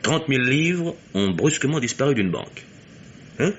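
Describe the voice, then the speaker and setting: An actor playing a shrewd, self-assured investigator in an old French radio mystery, with a measured, probing, faintly ironic delivery. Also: male voice, medium pitch, very animated, lightly acted. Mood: methodical, assured. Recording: dull, hissy old radio recording.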